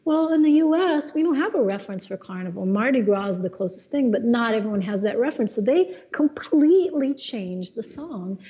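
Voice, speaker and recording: Mellow-voiced woman singer, thoughtful. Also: a little high, expressive, a little fast, few pauses, muffled recording. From Los Angeles, USA. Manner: thoughtful, many restarts and fillers. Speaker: jazz singer, guest. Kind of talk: casual conversation.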